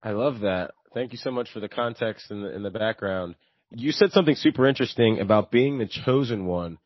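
A man's voice: fairly low pitch, brisk, no echo, highs cut off. A man hosting an interview podcast, upbeat and enthusiastic, with frequent fillers. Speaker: podcast host.

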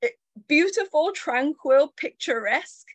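A woman's voice: high voice, animated, a little slow, no room sound. Female guest from China, reflective storyteller, dry close mic. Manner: warm reflective storytelling, light laughs. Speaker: entrepreneur guest. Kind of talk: interview storytelling.

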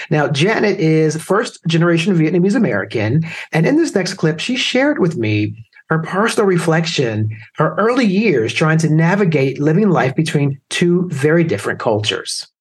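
A man's voice: a little high, slightly rough. A man hosting a podcast and reading upbeat scripted introductions. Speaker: podcast host.

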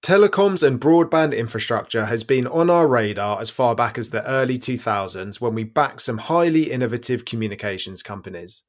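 A man's voice: medium pitch, expressive, a little fast, hardly any pauses. A man reading quoted remarks from a script in a formal, corporate manner. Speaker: reader of quotes. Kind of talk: scripted read-aloud of a quote.

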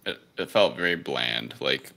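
A man's voice: very deep voice, lively intonation, a little slow, gravelly, pushed and bright. A man co-hosting a casual film review podcast, talking in a tentative, hedging way. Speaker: podcast co-host.

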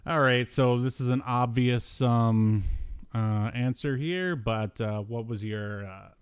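A man hosting a podcast in casual conversation, talking in a halting, jokey way. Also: mid-range voice, smooth, no room sound, studio quality. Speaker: podcast host. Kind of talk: casual chat about cartoons and movies.

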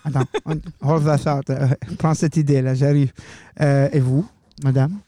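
A man's voice: on the high side, level tone, moderate pace, warm tone, no room sound. A young man hosting a student radio show, talking loosely and casually and slipping in English words. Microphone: studio microphone.

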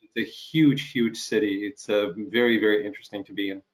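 A middle-aged man from Ukraine hosting a casual interview over a video call, talking haltingly with many fillers and restarts. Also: lowish voice, steady tone. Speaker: interview host.

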